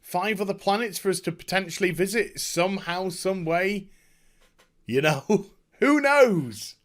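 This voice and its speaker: A man with a fairly high voice that rises and falls a great deal talks unscripted as a gaming YouTuber. He is effusive and overwhelmed, repeating phrases and halting as he searches for words. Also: animated, fairly bright tone. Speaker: video game YouTuber and streamer. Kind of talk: unscripted rambling game review chat.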